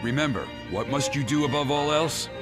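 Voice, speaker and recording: An actor playing a stern, loyal officer of an ancient Chinese kingdom in a game cutscene, formal and firm. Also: male voice, mid-range voice, moderate pace, natural voice. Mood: frank. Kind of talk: video game cutscene acting. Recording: loud orchestral music behind the voice.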